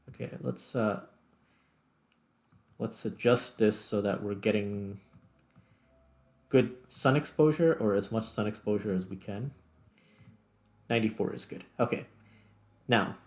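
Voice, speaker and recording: A man's voice: fairly low pitch, a little slow, long pauses. A man giving casual, unscripted gameplay commentary, muttering step by step as he thinks aloud. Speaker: host playing and narrating a video game.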